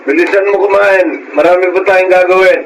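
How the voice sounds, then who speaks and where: A man's voice: high voice, level tone, a little fast, lo-fi sound. A man playing a pushy, brusque father in a radio drama. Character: pushy, brusque father urging his son on. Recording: noisy ambience behind radio drama.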